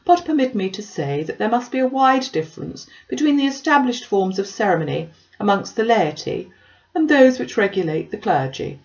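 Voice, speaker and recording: A woman reads as a self-important clergyman from a classic novel, wordy and obsequious. The delivery is eager and formal, lifted in pitch, on a slightly dull lo-fi recording. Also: lively intonation, moderate pace, close to an everyday voice. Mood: pompous, lecturing. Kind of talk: audiobook character dialogue.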